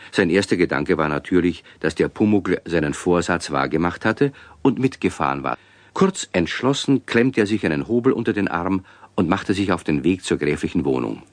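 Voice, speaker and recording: Deep-voiced guy telling a kids story on radio, really expressive, dry close studio sound. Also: no room sound. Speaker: narrator. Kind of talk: scripted storytelling narration for children's radio play.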